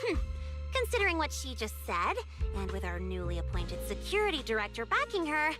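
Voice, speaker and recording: A high, swooping voice for a haughty, hot-tempered young anime game woman. She is sassy and blunt, grudging in every concession, with prominent music behind her. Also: wildly swooping pitch, fairly quick. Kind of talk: anime video game voice acting.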